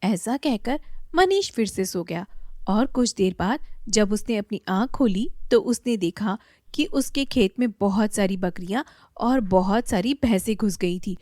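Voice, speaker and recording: A woman narrating a children's moral story in a plain, steady way. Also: medium pitch, a little slow, no echo, studio quality.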